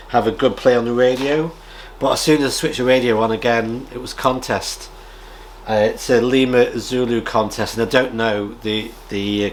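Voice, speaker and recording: A British man chatting casually as a radio hobby vlogger, rambling in a halting way and often clearing his throat. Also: lowish voice, slight background noise. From United Kingdom. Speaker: amateur radio vlogger and presenter. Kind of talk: casual rambling chat, reading out viewer comments.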